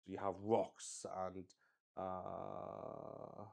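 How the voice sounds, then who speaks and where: A man with a lowish, mellow voice improvises as game master of a solo tabletop roleplay. He takes his time, pausing often and working things out aloud as he goes. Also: completely flat delivery, vocal fry. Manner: hesitant, pausing, thinking aloud.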